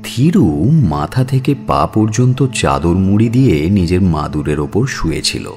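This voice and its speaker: Low slow guy reading an audio story, expressive, music under him. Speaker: narrator. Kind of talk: scripted audio-story narration.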